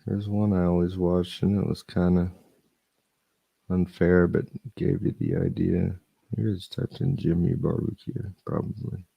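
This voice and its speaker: A man's voice: low voice, level tone, a little slow, vocal fry, slightly rough, dry recording, muffled recording. A man hosting a casual stream, chatting loosely and thinking aloud while he is losing his voice. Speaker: host. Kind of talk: casual live-stream reading and chat.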